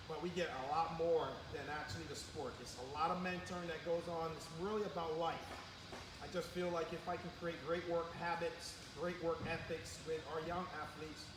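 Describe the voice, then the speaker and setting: A man from Barbados, a sports coach, talking earnestly and with emphasis in a live interview. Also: fairly high pitch. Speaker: sports coach and academy owner. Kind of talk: live interview, motivational talk. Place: indoor sports gym. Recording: echoey hall, clear background noise.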